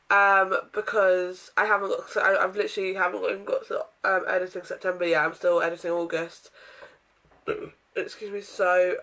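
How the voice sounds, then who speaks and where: Croaky-voiced young woman vlogging at her desk. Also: medium pitch, a bit bright. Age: young adult. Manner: chatty, rambling, frequent ums and throat clearing. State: croaky voice from a sore throat. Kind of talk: casual day-in-my-life vlog. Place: bedroom, indoors.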